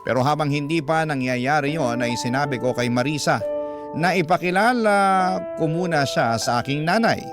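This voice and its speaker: A man with a Filipino accent narrates a personal radio love story at a middle pitch. His delivery is earnest and measured, and loud plucked guitar music plays behind him. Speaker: storyteller reading a listener's letter. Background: guitar music bed.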